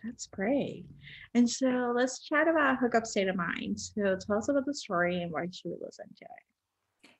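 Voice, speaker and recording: A woman's voice: a little high, moderate pace. A woman hosting a podcast, interviewing her guests in a casual, chatty style.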